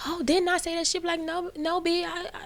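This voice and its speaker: A woman's voice: extremely high pitch, level tone, fast talker. A woman guest on a radio show, a member of a music duo, talking openly and frankly. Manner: outspoken, candid. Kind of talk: casual radio interview chat.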